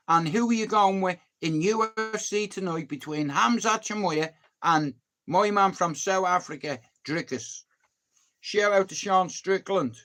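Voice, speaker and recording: High-voiced crude Irish man. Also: a bit bright. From Ireland. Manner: crude, joking, swearing. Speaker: livestream host. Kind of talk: casual chat with viewers.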